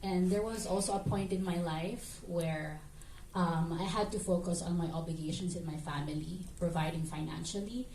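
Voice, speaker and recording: Reflective female actress from the Philippines; low-ish warm voice, distant and roomy. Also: fairly even intonation, moderate pace, a little hiss or hum. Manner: hesitant, reflective, many fillers. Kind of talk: festival Q&A answers. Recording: echoey room, mic at a distance.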